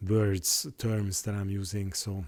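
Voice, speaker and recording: A man whose first language is Hungarian, talking in an unscripted monologue on his channel, halting with many restarts and fillers. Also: lowish voice, flat delivery, darkish tone. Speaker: YouTuber.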